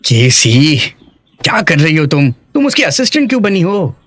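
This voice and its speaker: A man's voice: a little high, very animated, normal speed, fairly bright tone, no room sound. A young man playing a concerned, questioning office worker in a dubbed drama. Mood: confused, upset. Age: young adult.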